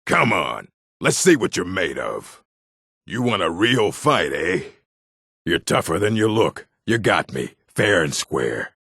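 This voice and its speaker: A proud orc warrior from a fantasy game, with a deep, gravelly voice. He talks bluntly and gruffly, as if squaring up for a fight, and takes his time. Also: big pitch swings, slow, fairly bright tone, no room sound, studio quality, full character voice. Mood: challenging. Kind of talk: video game character acting.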